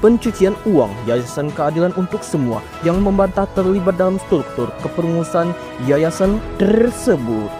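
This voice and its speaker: A man's voice: sits high, animated, moderate pace. A man anchoring a television newscast in a formal scripted style. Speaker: news anchor. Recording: music bed behind the voice.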